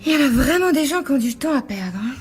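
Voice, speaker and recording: An irritated woman picking up the telephone in a radio mystery. Her voice is high and leaps around in pitch, with faint music behind it. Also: lively intonation, quick pace. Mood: puzzled, annoyed. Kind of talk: French radio crime drama acting.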